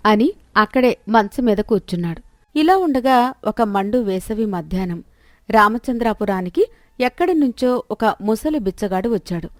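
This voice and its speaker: A woman's voice: mid-range voice, lively intonation, moderate pace, no echo, clean studio sound. A woman narrating a scripted moral story as a storyteller.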